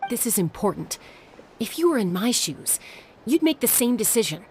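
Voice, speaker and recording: An actress playing a headstrong, unflappable older sister and adventurer in a video game, cool, confident and dismissive. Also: female voice, fairly high pitch, sing-song, normal speed, darkish tone, a little hiss or hum. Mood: firm, dismissive.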